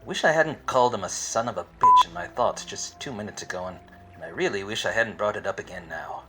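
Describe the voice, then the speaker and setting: An actor playing a wise-cracking, obtuse hard-boiled private eye in a parody of 1940s detective radio plays, with wordy deadpan delivery. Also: male voice, fairly low pitch, fairly quick, over loud music, poor audio. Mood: brooding deadpan. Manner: deadpan, wordy noir narration.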